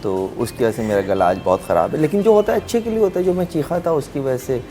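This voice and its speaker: Hoarse man joking on a morning show. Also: medium pitch, big pitch swings, fast, dark tone, soft background music. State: hoarse, sore throat. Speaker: news colleague on morning show. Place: TV studio.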